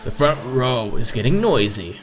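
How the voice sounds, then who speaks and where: Lively man reading a visual novel aloud. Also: mid-range voice, normal speed. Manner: playful, stumbles over words. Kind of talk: casual read-aloud narration with side comments. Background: visual novel background music.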